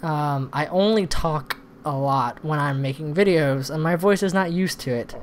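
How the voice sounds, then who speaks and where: A man doing casual let's-play commentary, thinking aloud in a rambling way, with a slightly hoarse voice. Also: fairly high pitch, normal speed. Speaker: host of a gaming channel. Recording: noisy recording with background ambience.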